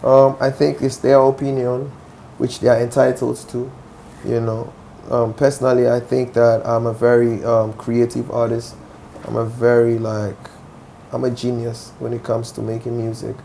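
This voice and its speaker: Ghanaian male musician, young, gravelly, insistent interview talk. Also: medium pitch, little pitch movement, moderate pace, mellow, slight background noise. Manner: assertive, with frequent "do you understand?" asides. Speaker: musician guest. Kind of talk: interview answers.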